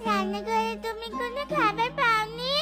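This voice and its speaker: Brief: tiny cartoon tiger girl, shrill and whiny, voiced by a woman, loud music. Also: steady tone, moderate pace. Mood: childlike. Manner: whiny, pleading. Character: little tiger cub daughter, hungry and pleading. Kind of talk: cartoon voice acting.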